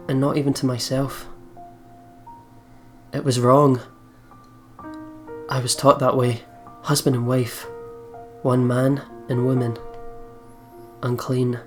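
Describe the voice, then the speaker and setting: A woman hosting a let's play, reading a visual novel aloud in a dramatic, reflective manner. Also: extremely low pitch. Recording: game music behind.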